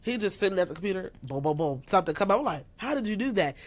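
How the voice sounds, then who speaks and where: A woman's voice: mid-range voice, racing. A woman of thirty, a musician guest in a casual podcast interview, talking freely, laughing often and acting out what people said to her. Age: 30s. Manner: laughs often, animated, quotes herself in raised voice.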